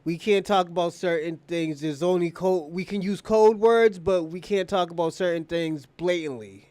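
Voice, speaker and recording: Male livestream host, high-pitched, dry sound, opinionated, rambling, casual delivery. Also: moderate pace, a little mellow, no echo. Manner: opinionated, rambling, repeats phrases. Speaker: live stream show host. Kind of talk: casual off-the-cuff livestream talk.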